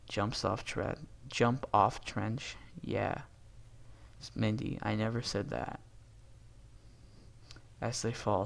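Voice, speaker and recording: A husky-voiced man reading a film script aloud, stage directions and dialogue alike, stumbling and correcting himself and breaking off into asides. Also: on the low side. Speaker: amateur reader doing a long script read-aloud.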